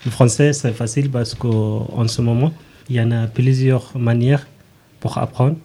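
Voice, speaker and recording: A man from Sudan speaks hesitant, halting learner French in a flat, dark voice. His first language is Zaghawa. A faint background noise can be heard behind him. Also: mid-range voice.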